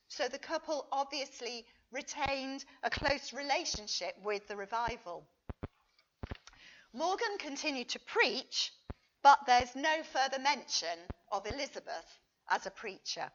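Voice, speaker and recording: A woman reading a history paper aloud as a conference speaker, expressive and emphatic, with a wry touch. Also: sits high, dull audio. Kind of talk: prepared lecture read aloud to an audience.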